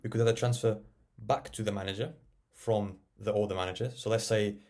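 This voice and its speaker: A man narrating a casual software tutorial, explaining and thinking aloud as he works. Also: fairly low pitch, fairly even intonation, brisk. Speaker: tech tutorial presenter.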